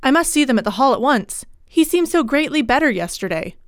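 A woman voicing a male country clergyman in a storybook reading, formal, brisk and authoritative. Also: sits high, expressive, no echo, studio quality. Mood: startled, concerned.

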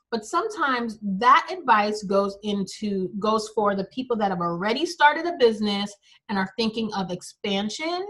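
Bright, upbeat woman podcast host. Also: a little high. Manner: upbeat, chatty, laughs easily. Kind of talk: casual interview hosting.

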